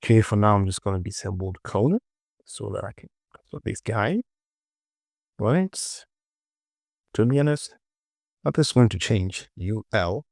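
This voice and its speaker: A man giving a casual software tutorial, talking through his work in short, informal instructions as he goes. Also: on the low side, lively intonation, a little slow, gravelly, pauses often, no echo, studio quality. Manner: informal, muttered short instructions to self and viewer. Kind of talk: casual screen-recorded software tutorial, talking while working.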